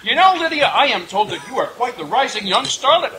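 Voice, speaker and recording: High-pitched, animated radio show emcee man. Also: fairly quick. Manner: showman's projected patter. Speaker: master of ceremonies. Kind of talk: old-time radio variety show hosting. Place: outdoor night stage in woods, live audience. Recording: noisy outdoor stage, crowd.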